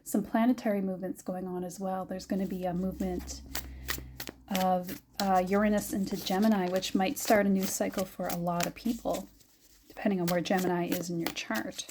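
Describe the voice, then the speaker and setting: A young adult woman giving a gentle, musing tarot reading, her voice a little raspy and scratchy, often laughing softly. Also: medium pitch, little pitch movement, fairly quick. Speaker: tarot reader. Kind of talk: soft-spoken tarot reading.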